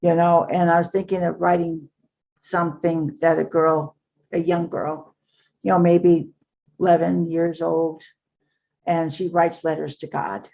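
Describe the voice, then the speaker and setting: A woman's voice: fairly low pitch, flat delivery, measured pace, gravelly, dark tone, rough recording. An older woman, a book author, talking in a casual interview, earnest and rambling with many fillers. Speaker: author and guest.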